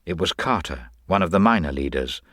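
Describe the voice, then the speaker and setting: A man's voice: low-pitched, expressive, brisk, a bit bright, no room sound, studio quality. A man reading scripted, dramatic narration of a crime story.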